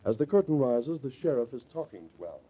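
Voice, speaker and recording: Male radio drama announcer; lively, suspenseful delivery, husky tone, thin phone-like vintage recording. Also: mid-range voice, fast. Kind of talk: old-time radio drama narration.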